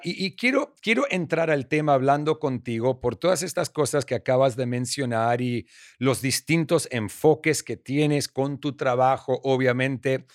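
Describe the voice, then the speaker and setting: A man's voice: mid-range voice, dry recording, studio quality. A man from Argentina with an Argentine Spanish accent, hosting a faith-based podcast interview in an encouraging, effusive way. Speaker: podcast host.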